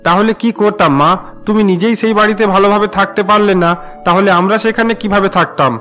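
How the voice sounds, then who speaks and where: Bright, high-pitched grown son. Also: male voice, steady tone, brisk, faint music behind. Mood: earnest, defensive. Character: earnest grown son explaining himself to mother. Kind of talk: cartoon family melodrama acting.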